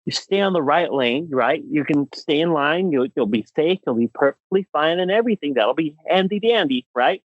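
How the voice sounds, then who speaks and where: A man's voice: on the high side, expressive, normal speed, few pauses, dry recording. Young American man, podcast co-host, emphatic and exasperated comic ranter, swooping intonation, dry dull recording. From United States. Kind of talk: comedic rant on a podcast.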